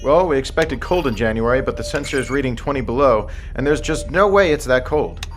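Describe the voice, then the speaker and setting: An actor playing a cautious submarine second-in-command in an audio drama, formal and deferential but firm. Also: male voice, mid-range voice, lively intonation, brisk, faint music behind, natural voice. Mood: dutiful, formal. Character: dutiful, cautious male submarine executive officer.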